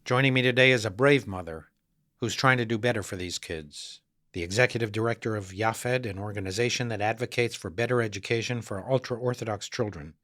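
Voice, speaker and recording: A man's voice: fairly low pitch, fairly quick, a bit bright, no room sound, studio quality. A man, a rabbi hosting an interview podcast with scripted introductions and questions.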